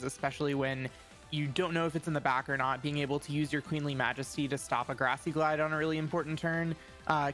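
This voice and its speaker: A man with a fairly high voice explains the strategy of a competitive match, talking fast and filling his pauses with little fillers. Clear music runs under his voice throughout. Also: fairly bright tone. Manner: eager, explanatory, many "uh" fillers. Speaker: esports co-commentator. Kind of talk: color commentary on a match. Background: background music.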